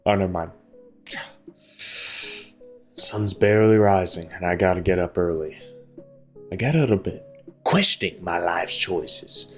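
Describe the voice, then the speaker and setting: A man's voice: deep voice, a little slow, pauses often. A man doing casual let's play commentary, reading visual novel text aloud and breaking off for joking asides. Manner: joking, self-interrupting asides. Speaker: gaming video host. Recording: background music behind the voice. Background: game music.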